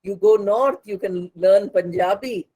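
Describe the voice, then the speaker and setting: A woman's voice: mid-range voice, measured pace, warm tone. A woman with an Indian accent and Bengali as her native language, giving an instructional lecture as a spoken English teacher, didactic and repeating points for emphasis.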